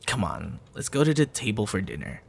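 A young man playing a tender, hesitant suitor in an intimate ASMR boyfriend roleplay, speaking softly and haltingly. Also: on the low side, sing-song, gravelly, breathy edge, full character voice. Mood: shy, pleased. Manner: soft, hesitant, with sighs and chuckles. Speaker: audio roleplay voice actor.

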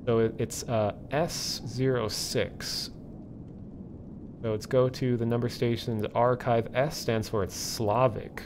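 A man hosting a livestream, explaining things casually and off the cuff in a halting, thinking-aloud way. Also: medium pitch, level tone, background noise. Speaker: livestream host. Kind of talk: casual live explainer, unscripted.

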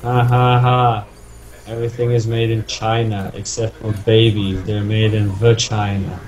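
A man's voice: on the low side, level tone, measured pace, a little mellow, poor audio. A young man chatting casually while playing a game with friends, joking crudely and swearing a lot. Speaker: gamer recording with friends. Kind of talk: casual gaming chat.

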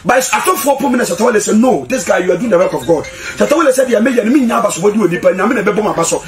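A young man with a Ghanaian accent talking informally and excitably as a studio guest into a microphone. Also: sits high, racing. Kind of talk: animated informal studio talk in Pidgin and Twi.

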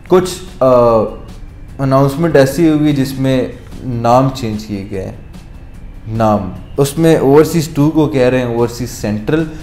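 A man's voice: mid-range voice, a little slow, dark tone. A man gives a casual update to camera from his office, mixing English phrases and fillers into his talk. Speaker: real estate presenter. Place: office, indoors. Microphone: lapel mic. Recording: roomy office echo, faint music.

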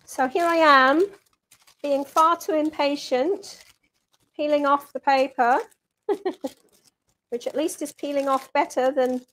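Chatty older woman chef, higher voice. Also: a bit bright, rough recording. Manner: chatty, self-mocking, laughs often. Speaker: cooking show chef. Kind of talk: live cooking demonstration. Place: home kitchen, indoors. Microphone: fixed camera mic across the counter.

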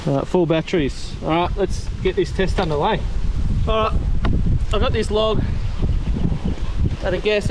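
A relaxed man in his thirties chats through a tool test out in a grassy paddock, his voice sitting a touch high for a man. He talks loosely, with plenty of ums and restarts. Wind buffets the camera mic throughout. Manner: easygoing, rambling, many ums. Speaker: vlogger reviewing gear. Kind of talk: casual product test chat. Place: outdoors in a grassy bush paddock. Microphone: camera mic, far tripod then held at arm's length. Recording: outdoor wind noise on the mic.

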